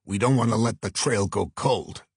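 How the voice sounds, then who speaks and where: A man's voice: lowish voice, moderate pace, husky, dry recording, clean studio sound. A man voicing an earnest, effusive New York talent agent with a Brooklyn accent in an audiobook. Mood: earnest, persuasive. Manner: effusive, flattering, salesman-like. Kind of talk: audiobook character voice.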